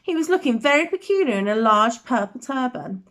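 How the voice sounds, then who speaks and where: High-voiced, animated woman storyteller. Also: brisk. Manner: expressive, dramatic emphasis. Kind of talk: children's bedtime story reading.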